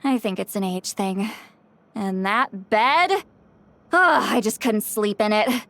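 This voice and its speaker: A woman's voice: mid-range voice, takes it slowly, dry recording. A woman voicing a laid-back, quirky, chatty desk worker in a video game. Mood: amused, chatty. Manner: casual, animated, chatty.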